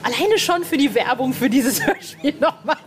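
A woman's voice: high voice, sing-song, moderate pace, soft background music. A woman interviewing a guest in casual, informal chat. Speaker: podcast interviewer.

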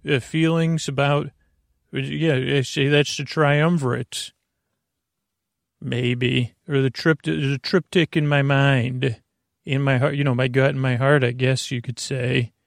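Gravelly, meandering male bedtime podcast host. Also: mid-range voice, level tone, dry recording, clean studio sound. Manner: meandering, halting, self-interrupting, tangent-prone. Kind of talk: rambling bedtime podcast monologue.

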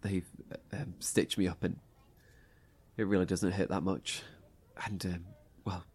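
A wounded man in a horror audio drama. His voice is low, husky and subdued, and he talks slowly and wearily as he reassures people. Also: little pitch movement, a little mellow. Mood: weary, resigned.

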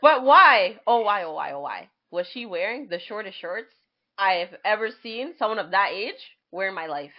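A woman's voice: mid-range voice, expressive, moderate pace, lo-fi sound. A young woman co-hosting a podcast, casually reminiscing with a friend in an exclamatory way, repeating phrases for emphasis. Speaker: podcast co-host.

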